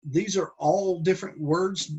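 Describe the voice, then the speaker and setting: A man teaching a Bible class from slides, talking in a halting way with many restarts and fillers. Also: high-pitched, steady tone, a little mellow, breathy edge.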